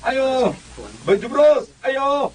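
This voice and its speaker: An actor playing an excitable, peacemaking male former classmate, chatty and coaxing, in a Cebuano comedy radio drama. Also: very high voice, drawn-out words, full character voice. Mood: calling out.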